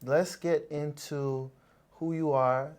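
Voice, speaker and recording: Chatty interview host, easygoing, mid pitch, jokes around a bit. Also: steady tone, takes it slowly, darkish tone. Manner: friendly, chatty, jokes about himself or herself. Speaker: podcast host. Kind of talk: interview hosting.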